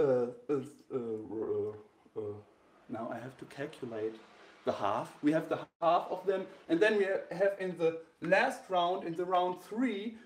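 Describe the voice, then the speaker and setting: A man's voice: high-pitched, roomy sound. German-accented man with many fillers. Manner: halting, many fillers and restarts. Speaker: host of a graffiti sketch battle. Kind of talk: live-streamed explanation to camera. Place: indoor room with a wall-mounted bracket.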